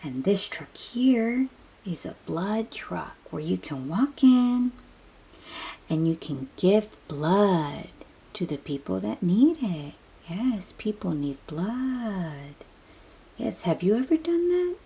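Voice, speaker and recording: A woman reads aloud to little children at a very unhurried pace, with a lot of rise and fall in her delivery. Her voice is soft-edged and mellow, and she explains things in simple phrases, often asking the listener a question and then answering it. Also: medium pitch, very animated, gravelly, breathy edge. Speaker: children's picture book read-aloud host.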